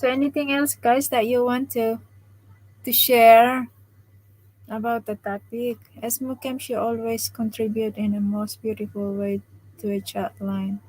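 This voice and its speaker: Slow, soft-spoken woman after jaw surgery. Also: on the high side, level tone, rough recording. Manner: speaks softly, restarting phrases, laughing often. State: recovering from jaw surgery, tired. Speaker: live stream host. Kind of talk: casual live stream chat reading comments.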